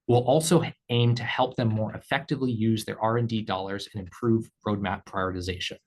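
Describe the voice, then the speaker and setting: Low-pitched male executive reading prepared remarks. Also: fairly even intonation. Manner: measured, formal read delivery. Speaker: company executive. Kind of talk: scripted earnings call presentation.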